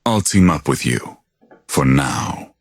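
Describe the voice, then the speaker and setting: Very deep, slow video game villain. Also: male voice, animated, full character voice. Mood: cold, menacing. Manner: slow, deliberate, ominous. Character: cold, menacing male villain, grave and superior.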